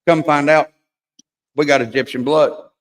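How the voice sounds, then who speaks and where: A man's voice: on the high side, fairly even intonation, unhurried. A man hosting a livestream in casual chat, a crude, joking, rambling storyteller. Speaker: livestream host. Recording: long hall reverb.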